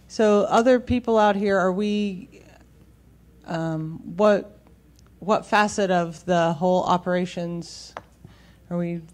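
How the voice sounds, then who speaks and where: A woman moderating a conference panel, asking deliberate questions of the audience. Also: lowish voice, a little mellow.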